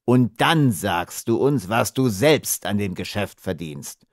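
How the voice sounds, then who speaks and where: A man voicing a rough, bearded prairie smuggler in an audiobook, blunt, taunting and threatening. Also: lowish voice, lively intonation, slow pace, dry recording, studio quality. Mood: demanding. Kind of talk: audiobook character acting.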